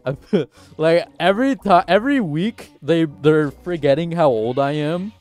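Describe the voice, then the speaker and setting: A man's voice: a little high, animated, measured pace, a little mellow, soft background music. Rambling young man streaming a game. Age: 20s. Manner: rambling, self-mocking, laughs at himself. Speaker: livestreamer. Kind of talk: casual game livestream chat. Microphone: headset mic at desk.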